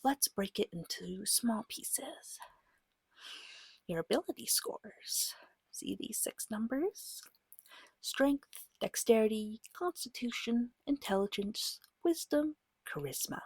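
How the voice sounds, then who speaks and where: A woman gives slow, patient guidance in a soft, breathy ASMR voice that often drops into a whisper. Her pitch moves in lively little swings between short, reassuring phrases. The sound is very dry and intimate. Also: dry recording. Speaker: guide teaching a beginner tabletop game. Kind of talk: soft-spoken ASMR, slow guided explanation.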